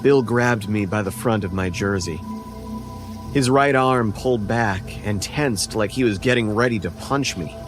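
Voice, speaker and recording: A man's voice: fairly low pitch, a little slow. A man narrating a scripted first-person horror story as its narrator. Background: faint music.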